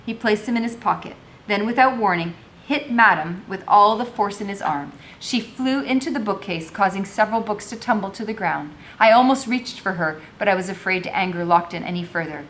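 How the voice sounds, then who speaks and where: A woman's voice: mid-range voice, a little fast, fairly bright tone, a little hiss or hum. A woman teacher reading a novel aloud, moving between narration and informal explanatory commentary.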